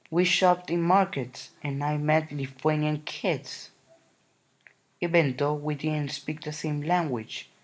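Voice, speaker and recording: Slow gentle male storybook reader. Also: on the high side, rough recording. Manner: gentle, unhurried read-aloud. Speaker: narrator. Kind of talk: storybook reading.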